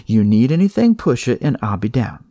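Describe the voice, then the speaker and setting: A man's voice: medium pitch, very animated, moderate pace, mellow, dry recording, clean studio sound, pitched above the performer's own voice. A man voicing a friendly female night nurse in a horror audiobook, familiar and reassuring.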